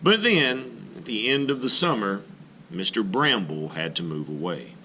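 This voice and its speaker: Lively, slow man reading a picture book. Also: fairly low pitch, a little hiss or hum. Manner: expressive, slow, lively storytelling. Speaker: art teacher reading aloud. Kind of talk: children's picture-book read-aloud.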